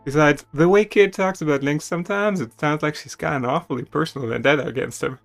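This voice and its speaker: A man's voice: a little high, fast, vocal fry. A man streaming a dramatic, theatrical reading of game text, with casual asides to viewers. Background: music.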